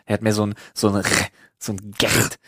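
A man's voice: lowish voice, monotone, fast talker, fairly bright tone, no room sound. Casual slangy man, dry close recording. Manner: slangy, swearing, mock-exasperated. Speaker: podcast host. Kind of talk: casual chat, storytelling.

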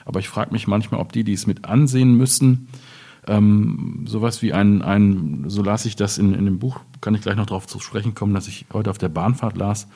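A man in a reflective literary discussion, speaking hesitantly and deliberately as he searches for his words. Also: on the low side, gravelly, mellow. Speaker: author in panel discussion.

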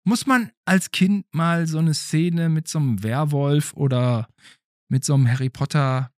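A man's voice: fairly high pitch, a little slow, darkish tone, no echo, studio quality. A man hosting a casual podcast in a playful, conversational way, often adding questioning tags. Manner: playful, hesitant phrasing with rhetorical tag questions. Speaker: podcast host.

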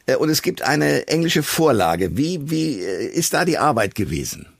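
Gravelly man hosting a radio talk, close and dry. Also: mid-range voice, very animated, moderate pace, dry recording. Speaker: radio and podcast host. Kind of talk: conversational interview hosting.

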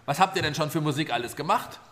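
A man's voice: fairly high pitch, quick pace, a crowd in the background. A man hosting an improvised children's audio play, chatting playfully and encouraging others with questions.

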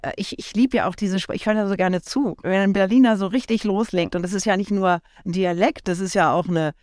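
A woman hosting a Sunday breakfast radio interview, lively and chatty, teasing her guest. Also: mid-range voice, fairly quick, dry recording, studio quality. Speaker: radio show host.

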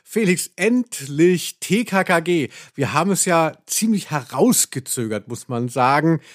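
Excitable man with a higher voice. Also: a little slow. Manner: excitable, tongue-in-cheek. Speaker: podcast co-host. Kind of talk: casual chat about audio dramas.

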